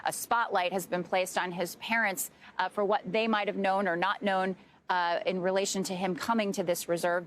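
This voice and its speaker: A woman reporting live from the field in a steady news delivery with small fillers. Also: on the low side, a little hiss or hum. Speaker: news correspondent.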